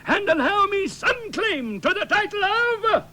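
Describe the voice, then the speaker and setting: A man's voice: extremely high pitch, big pitch swings, unhurried, sharp tone, slight background noise, heavily acted. A man playing an earnest, self-important adventurer, narrating his exploits in mock-dramatic radio comedy. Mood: resolute, swelling.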